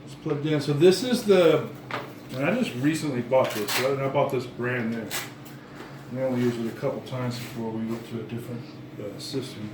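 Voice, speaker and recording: A man giving a casual, halting demonstration of sound gear, often repeating and rephrasing himself. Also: medium pitch, far from the mic. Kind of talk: casual explanatory demonstration chat. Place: dining room at home, indoors. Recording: roomy, noisy home recording off mic.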